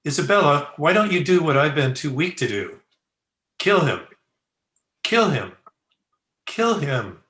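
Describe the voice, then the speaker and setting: A man's voice: fairly high pitch, big pitch swings, a little slow, pauses often, a bit bright. An actor playing a bitter, broken, drink-sodden man in an old-time gothic radio drama, accusing and theatrical. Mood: bitter, goading. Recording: roomy, echoing home video-call recording.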